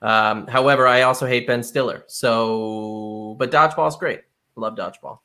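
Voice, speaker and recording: A man's voice: medium pitch, moderate pace, sharp tone. A man hosting a podcast, debating films casually with an opinionated, conversational delivery. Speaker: podcast host.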